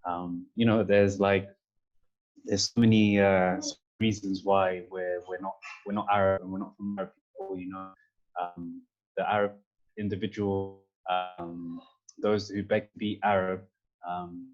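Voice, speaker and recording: Low, flat-pitched London man, lo-fi audio. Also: normal speed. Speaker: panel speaker. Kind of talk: informal panel talk giving opinions.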